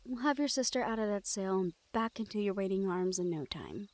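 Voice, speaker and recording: A woman performs a young female thief of the shadow folk in a fantasy audio drama. Her medium-pitched voice is soft and tender, often easing into a breathy murmur. She sounds teasing and sure of herself, yet protective of the one she speaks to. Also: animated, brisk, slight background noise, lightly acted. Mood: playful, confident. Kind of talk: intimate fantasy audio roleplay acting.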